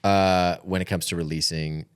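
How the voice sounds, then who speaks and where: A man's voice: low-pitched, fairly even intonation, normal speed, clean tone. A man co-hosting a podcast, chatting casually and piecing stories together with some hesitation. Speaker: podcast co-host. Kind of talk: casual conversational podcast chat.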